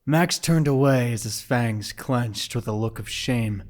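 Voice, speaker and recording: A man's voice: medium pitch, measured pace. A man giving scripted, dramatic story narration for an audio drama.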